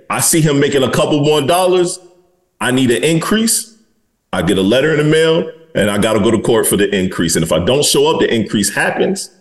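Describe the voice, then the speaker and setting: A man in his forties hosting a podcast, talking bluntly and candidly in casual conversation and swearing freely. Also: mid-range voice. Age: 40s. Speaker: podcast host.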